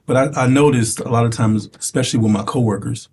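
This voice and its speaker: A man with a light, soft voice talks hesitantly, filling pauses with "uh" and "um" and starting phrases over. A faint hiss sits behind him in the recording. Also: medium pitch, steady tone. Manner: hesitant, many fillers. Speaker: participant.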